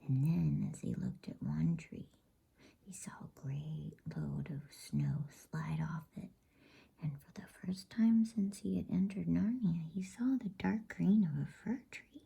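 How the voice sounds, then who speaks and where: A woman reading a novel aloud as a bedtime story in a hushed, unvoiced ASMR whisper. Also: on the low side, sing-song, a little slow, gravelly, husky.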